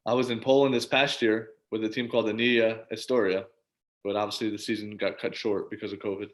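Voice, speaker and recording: A man's voice: on the low side, flat delivery, brisk. A man in his twenties chatting casually as an interview guest, informal and agreeable. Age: 20s. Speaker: interview guest, professional basketball player and coach. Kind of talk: casual chat.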